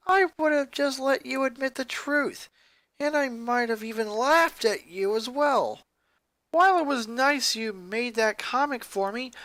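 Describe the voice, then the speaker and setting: A man's voice: very high-pitched, sharp tone, no echo, pitched above the performer's own voice, full character voice. A man voicing a hurt young boy, a bitter big brother in a story, cold, accusing and emphatic. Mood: angry.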